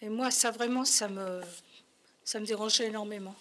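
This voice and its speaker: A woman's voice: mid-range voice, steady tone, moderate pace, fairly bright tone, a little hiss or hum. An older woman, a talk show guest, recalling her experiences conversationally in plain, short phrases. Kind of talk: conversational personal recollection.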